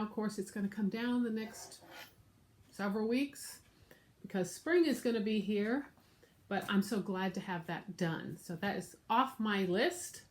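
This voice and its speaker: An American woman with a nasal, stuffed-up voice from being sick, chatting casually to camera as she shows her craft projects. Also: medium pitch. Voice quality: nasal, congested. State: sick with congestion. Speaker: craft vlogger and show host. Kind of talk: casual show-and-tell chat to camera. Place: room at home.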